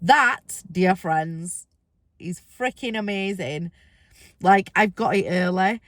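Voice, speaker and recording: Woman vlogger, under the weather with a squeaky voice, casual and chatty, bursts of excitement. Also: slow pace, dry recording. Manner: chatty, excitable, rambling asides. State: ill, squeaky voice. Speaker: weight-loss vlogger. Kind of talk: casual vlog to camera.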